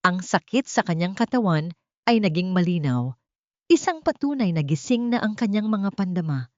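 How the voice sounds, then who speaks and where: A woman narrates a dramatic story with theatrical gravity. Her voice sits on the low side, and the recording is very dry and a little dull. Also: animated, normal speed, dry recording. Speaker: audiobook narrator.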